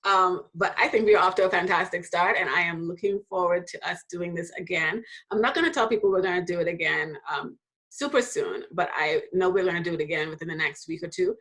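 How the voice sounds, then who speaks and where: A woman hosting a casual faith conversation. She talks in a lively way, laughs often, and jumps into high voices when quoting people. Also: fairly quick. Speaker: host.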